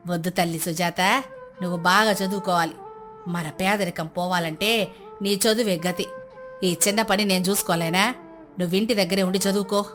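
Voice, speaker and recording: A woman voicing a kind, wise elderly village grandmother in an animated-style moral story, gently giving advice. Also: fairly low pitch, moderate pace, faint music behind, voice pushed down, lightly acted. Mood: caring, firm.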